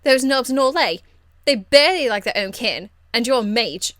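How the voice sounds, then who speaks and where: A woman acts a brash young female rebel in a fantasy video game. She is cheeky, crude and excitable, blunt and slangy, with a high voice and a dry, close sound. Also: normal speed, dry recording. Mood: scornful. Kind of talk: video game character acting.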